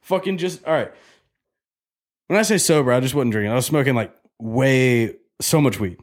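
A man's voice: mid-range voice, animated, moderate pace. Laid-back twenties guy telling a story. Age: 20s. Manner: loose, storytelling, swears freely, laughs at himself. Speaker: podcast host. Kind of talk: casual chat.

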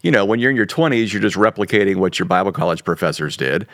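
A man in his fifties, a pastor, talking in a relaxed, joking, self-deprecating way. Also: sits low, fast, no room sound, clean studio sound. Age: 50s. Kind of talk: casual podcast conversation.

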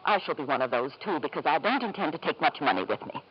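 A woman's voice: deep voice, expressive, quick pace, breathy edge, muffled recording. An actress playing a bitter, weary wife and anxious mother in a 1950s radio drama, formal and emotional, on an old broadcast recording. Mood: anxious, resolute.

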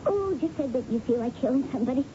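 A doubtful, nervous waitress in a vintage radio play. Her voice is fairly high and hesitant, on a dull old broadcast with clear noise. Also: expressive, fast talker. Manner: hesitant, second-guessing. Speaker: radio drama actor. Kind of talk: 1970s radio drama acting.

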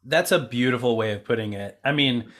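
A man's voice: mid-range voice, brisk, a bit bright. Earnest man hosting a podcast chat. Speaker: podcast host and co-producer. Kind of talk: casual round-table conversation.

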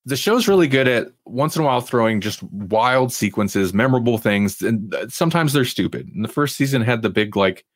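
A man's voice: mid-range voice, lively intonation, fairly quick, few pauses, no room sound, studio quality. A man co-hosting a TV review podcast, chatting casually in a rambling, opinionated way with frequent asides. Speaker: podcast co-host reviewing TV series. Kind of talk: casual chat.

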